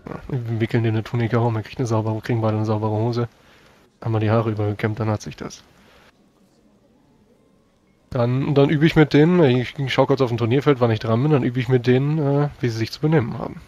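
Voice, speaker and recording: A man's voice: mid-range voice, fairly even intonation, fast talker, mellow, dry recording, highs cut off. Guy at a roleplaying session, casually talking through what his character does. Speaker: tabletop roleplaying player. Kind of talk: casual game chat.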